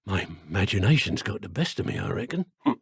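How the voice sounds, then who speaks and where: A man playing a grumbling dark wizard henchman on guard in a video game, with a British accent, a raspy voice and dry, sardonic muttering. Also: lowish voice, very animated, fast, no room sound, exaggerated voice. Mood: puzzled muttering. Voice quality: gravelly, raspy.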